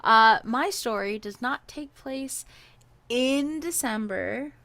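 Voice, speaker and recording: A young woman from Hawaii co-hosting a podcast, telling a story in a lively, laughing way. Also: on the high side, slow pace, no echo. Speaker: podcast co-host. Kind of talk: casual storytelling.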